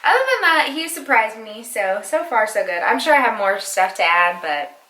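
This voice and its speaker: Bright-voiced chatty young woman. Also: fairly high pitch, very animated, moderate pace. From United States. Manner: chatty, rambling, laughs often. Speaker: vlogger. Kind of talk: casual chat with her husband. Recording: echoey room.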